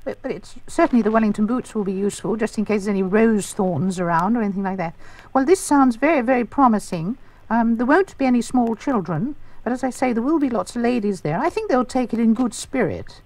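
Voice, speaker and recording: A man's voice: extremely high pitch, expressive, fairly quick, darkish tone, voice pushed up. A man voicing a prim, genteel middle-aged chairwoman on a prank phone call, polite and hesitant. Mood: coy, flustered.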